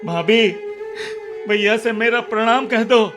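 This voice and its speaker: Remorseful younger brother, high voice, slow halting pleas, tearful, radio drama, music underneath. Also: male voice. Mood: sorrowful, resigned. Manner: halting, pleading, repeats words. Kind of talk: Hindi radio drama acting.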